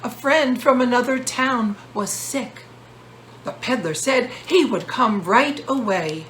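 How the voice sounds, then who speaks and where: A woman reading a children's storybook aloud in an expressive storyteller's way, with deliberate pauses. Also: on the high side, a little hiss or hum. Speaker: author reading own storybook. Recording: roomy echo, loud guitar music. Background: guitar music.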